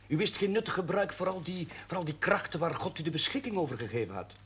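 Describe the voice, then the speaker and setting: An actor playing an earnest young chaplain who gently teases his senior, in a 1970s television drama. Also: on the high side, sing-song, fast, breathy edge, full character voice. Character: earnest young male priest, eager and teasing.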